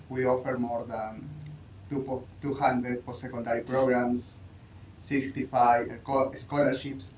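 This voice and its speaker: A man from Peru, a student ambassador answering panel questions in halting English that he often corrects. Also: mid-range voice, darkish tone, a little hiss or hum. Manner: halting, self-correcting non-native English. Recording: echoey livestream audio.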